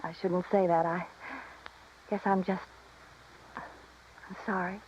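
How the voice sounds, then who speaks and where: Coy radio femme fatale, dull recording. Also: female voice, on the low side, flat delivery, takes it slowly, a little mellow, a little hiss or hum. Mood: coy, lonely. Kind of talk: 1950s radio drama acting.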